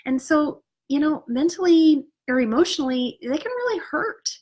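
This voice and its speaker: A woman's voice: sits high, big pitch swings, moderate pace, darkish tone. Stuffed-up woman coaching, nasal and earnest. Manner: earnest and encouraging, with frequent "you know" and "right?". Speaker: spiritual coach. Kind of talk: casual motivational talk to an online group.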